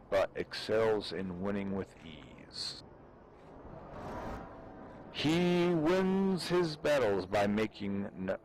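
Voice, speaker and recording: A man giving a measured, solemn dramatic reading of an ancient text, declaiming it aloud. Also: lowish voice. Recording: noisy game voice chat. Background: online shooter game sounds.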